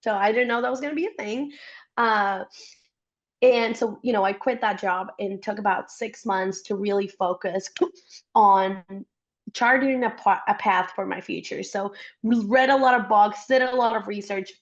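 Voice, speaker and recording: A woman's voice: medium pitch, moderate pace, dull audio. Chatty young woman. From Costa Rica. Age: young adult. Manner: chatty and upbeat. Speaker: guest, startup support worker. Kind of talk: interview conversation.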